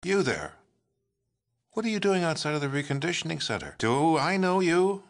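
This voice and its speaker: An actor playing a smug, folksy president in a video game, with a pompous, glad-handing, patronizing manner. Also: male voice, on the high side, big pitch swings, normal speed. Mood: indignant. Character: smug, folksy, scheming male politician, a president. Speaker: president character. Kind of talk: theatrical video game character acting.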